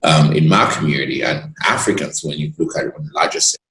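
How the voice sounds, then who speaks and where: A man with a very low voice speaks as an interview guest. He talks earnestly and at length, pausing now and then to find his words, and his voice carries around a roomy, echoing space. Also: creaky, a bit bright. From Cameroon. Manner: expansive and earnest, pauses to gather his words. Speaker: guest, lawyer. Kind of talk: reflective storytelling in conversation.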